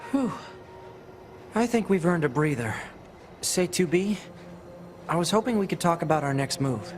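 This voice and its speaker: A man's voice: on the high side, animated, moderate pace, close to an everyday voice. Man voicing a youthful, earnest male android scout. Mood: businesslike, earnest. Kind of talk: video game voice acting. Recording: loud game music behind. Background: loud game music.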